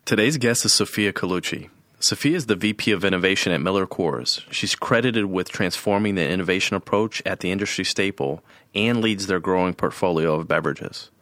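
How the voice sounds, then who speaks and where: Guy hosting a business podcast, lowish voice, relaxed questions, dry mic. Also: a little fast, no room sound. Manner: scripted intro, then relaxed friendly questions. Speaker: podcast host.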